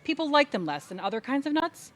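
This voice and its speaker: An actress playing a patient, puzzled older sister in a comedic audio play, questioning with emphatic disbelief. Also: female voice, high-pitched, very animated, quick pace. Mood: bewildered, humoring. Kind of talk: comedic audio theater dialogue.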